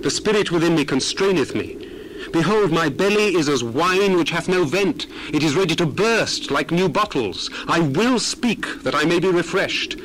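A man's voice: on the high side, expressive, moderate pace, a bit bright, a little hiss or hum, poor audio. An actor playing an earnest, indignant young man of ancient times, declaiming solemnly in a dramatized scripture reading. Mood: impassioned. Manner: declamatory, solemn, archaic phrasing.

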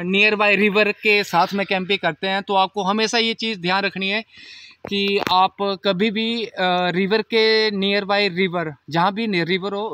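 A man's voice: high-pitched, pushed and bright. A young man camping in a forest at night, explaining tips to viewers in a casual vlog, filmed on a handheld phone. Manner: explanatory, advising viewers, walking while talking. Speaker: camping vlogger. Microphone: phone camera held by companion.

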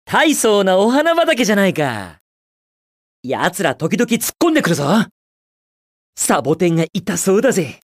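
A man's voice: high voice, very animated, moderate pace, long pauses, no echo, clean studio sound. A man voicing a cocky, carefree young hedgehog speedster hero in a video game, with a cool, brash, quippy delivery. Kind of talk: Japanese video game character voice lines.

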